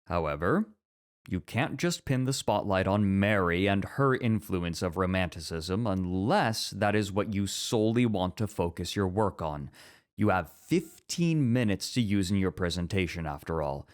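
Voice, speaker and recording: Man acting a deep-voiced, formal scholar. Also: lively intonation, moderate pace. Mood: scholarly, measured. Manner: formal, reasoned, pedantic. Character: measured, scholarly, traditionalist male literature teacher. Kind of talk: scripted ASMR roleplay acting.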